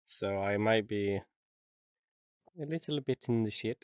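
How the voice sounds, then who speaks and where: A man's voice: on the low side, slow, darkish tone, clean tone, no echo, studio quality. A young man co-hosting a podcast, chatting casually with a joking, self-mocking manner and frequent laughs. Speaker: podcast co-host. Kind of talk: casual chat.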